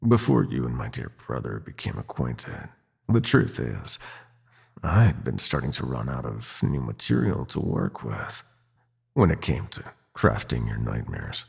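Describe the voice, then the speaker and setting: Very deep, gravelly demon prince villain. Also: male voice, big pitch swings, heavily acted. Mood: gloating, menacing. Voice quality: gravelly, husky. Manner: theatrical, taunting, drawn-out menacing purr with whispered phrases. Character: sinister male prince of nightmares, seductive, gloating, possessive villain. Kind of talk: ASMR roleplay acting.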